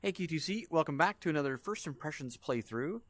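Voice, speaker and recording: A man's voice: fairly high pitch, expressive, fairly quick, fairly bright tone, no room sound. A man hosting a gaming video with casual, off-the-cuff let's-play commentary.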